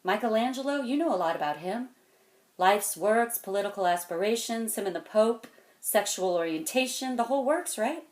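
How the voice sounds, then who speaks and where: A woman performing a dramatic monologue as a weary, wounded, stern mentor, speaking deliberately and intensely, moving between hushed and forceful. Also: mid-range voice, expressive, lightly acted. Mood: challenging. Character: weary, wounded, stern mentor confronting a cocky young genius. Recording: echoey room.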